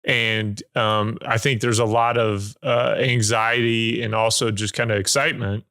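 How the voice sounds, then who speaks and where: A man's voice: on the low side, little pitch movement, a little slow, pushed and bright, dry recording, studio quality. A man hosting a podcast, chatting casually in a loose, rambling way with lots of filler words. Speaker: podcast host. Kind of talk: casual interview chat.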